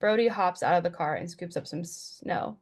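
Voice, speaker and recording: A woman's voice: on the low side, normal speed, slightly rough. A woman giving scripted narration, reading stage directions at a table read.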